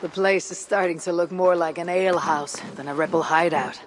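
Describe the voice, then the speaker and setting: An actor playing a steadfast, earnest Viking warrior in a video game, speaking in a measured, solemn way. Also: male voice, on the high side, fairly even intonation, slight background noise, natural voice. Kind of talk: dramatic video game acting.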